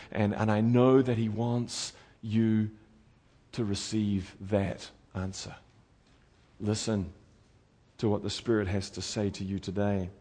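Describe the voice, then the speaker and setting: A New Zealand man delivers a sermon with an earnest, pleading manner. His voice sits at an ordinary middle pitch for a man. He swings from loud, urgent passages to hushed, intense appeals. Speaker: preacher.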